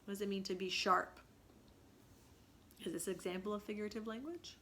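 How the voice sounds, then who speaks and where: A woman with a bright, forceful voice narrates a children's story. She reads expressively in a teacher's explanatory way, putting questions to her young listeners. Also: medium pitch, brisk. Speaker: read-aloud teacher.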